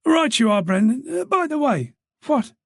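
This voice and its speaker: A man's voice: very high-pitched, big pitch swings, normal speed, creaky, no room sound, studio quality, voice pushed up, full character voice. A man voicing a chatty, familiar middle-aged police desk sergeant. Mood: breezy.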